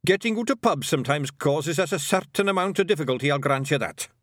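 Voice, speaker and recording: A man's voice: on the high side, animated, fast, fairly bright tone, no echo, clean studio sound, voice pushed up. A man putting on a scrappy Scottish pixie chief. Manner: gruff brogue, cheeky. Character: scrappy tiny male pixie chieftain, boastful.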